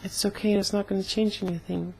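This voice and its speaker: A young woman talking casually in a personal vlog, slightly hoarse, with an earnest, halting way of speaking. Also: mid-range voice, flat delivery, a little mellow, poor audio. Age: young adult. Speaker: vlogger.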